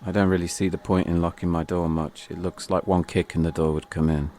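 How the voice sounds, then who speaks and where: A man narrating a first-person audio memoir in a reflective way, in short, measured phrases. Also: deep voice, level tone, warm tone, slight background noise. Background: music.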